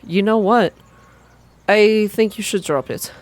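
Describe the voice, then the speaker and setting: A woman's voice: medium pitch, slow, darkish tone, voice pushed up, lightly acted. Woman voicing an uneasy, guarded young man. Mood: guarded, evasive. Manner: apologetic, guarded. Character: uneasy, evasive young male forestry student.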